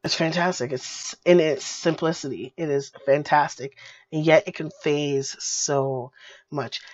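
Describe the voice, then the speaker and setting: Hoarse woman gushing over a book. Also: low voice, fairly even intonation, unhurried, dry recording. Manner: gushing, many fillers and repeats. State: hoarse with a cold. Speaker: book reviewer. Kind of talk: casual video book review. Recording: dull lo-fi dry sound.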